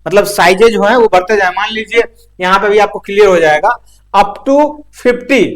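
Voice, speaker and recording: A man's voice: high-pitched, normal speed. A man giving an informal practical technical lecture, explaining step by step with frequent check-in tags. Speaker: instructor.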